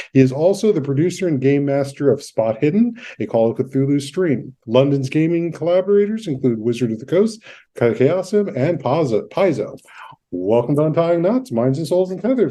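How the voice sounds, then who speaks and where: Mellow-voiced male podcast host. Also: on the high side. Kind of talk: introducing and interviewing a guest.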